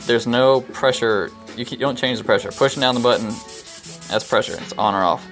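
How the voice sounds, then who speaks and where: A young man casually explaining airbrush technique while painting in a small brick-walled studio, with relaxed ums along the way. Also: mid-range voice, fairly quick, poor audio. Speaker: airbrush artist. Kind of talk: casual live-stream tutorial while painting. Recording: music playing under the voice. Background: music playing, airbrush hiss.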